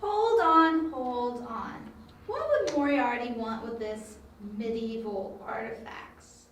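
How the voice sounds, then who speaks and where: A woman's voice: a little high, expressive, slow, a bit bright, distant mic. A woman playing a cheeky, casual young female sidekick in an amateur audio drama. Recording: noisy, echoey room, off mic.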